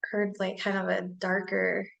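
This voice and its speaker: A woman's voice: mid-range voice, monotone, measured pace, gravelly, a bit bright. Woman interviewer giving brief replies. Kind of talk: casual interview chat.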